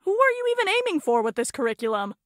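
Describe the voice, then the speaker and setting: A woman's voice: very high voice, sing-song, fast talker, no echo, clean studio sound. A woman voicing a blunt, argumentative young squad member in a visual novel, matter-of-fact and challenging.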